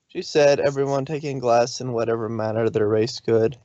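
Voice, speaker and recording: A woman's voice: rumbling low, fairly even intonation, fairly quick, warm tone, no room sound. A woman hosting and reading fan fiction in an informal way. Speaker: fan fiction reader and host. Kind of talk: narrated story reading and casual chat. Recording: dull, dry lo-fi microphone.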